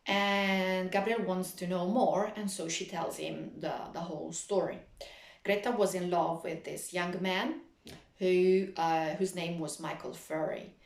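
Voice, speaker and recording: A woman teaching literature in an explanatory lecture, speaking didactically with frequent hesitant pauses. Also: lowish voice, steady tone, reverberant.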